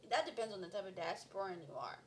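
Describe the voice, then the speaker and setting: A woman guest in a casual conversation who talks in an animated way, laughs easily and fills her speech with "like" and "you know.". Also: medium pitch, fast talker, slight background noise. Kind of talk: casual podcast chat.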